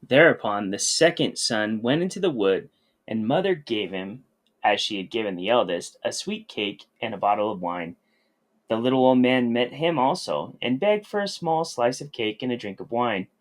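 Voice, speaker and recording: Medium-pitched man reading a storybook. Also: lively intonation, normal speed. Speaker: storybook reader. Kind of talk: scripted children's story read aloud.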